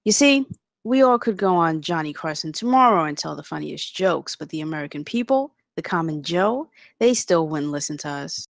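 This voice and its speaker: Woman reading a brash executive, creaky low voice. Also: lively intonation, normal speed, dry recording, studio quality. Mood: confiding, knowing. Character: brash, cynical male corporate executive with a drink. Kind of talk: script table read.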